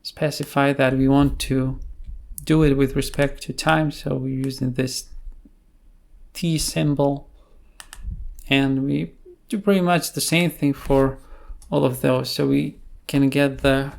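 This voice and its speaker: A man with a creaky, gravelly voice presents a software tutorial. His delivery is slow and quite flat in pitch. He talks it through off the cuff, in short, halting phrases. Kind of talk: unscripted software tutorial narration.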